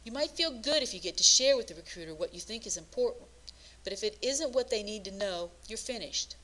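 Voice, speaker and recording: A woman presenting scripted instructional narration as a career coach, speaking in a measured, instructive manner. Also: mid-range voice, lively intonation, bright, forceful tone, rough recording.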